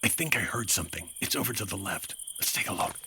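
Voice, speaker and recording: A man's voice: lowish voice, animated, fast talker, pushed and bright, a little hiss or hum, exaggerated voice. A man acting as an earnest hard-boiled insurance investigator, with clipped, dry narration in 1950s radio detective style. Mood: wry, cautious.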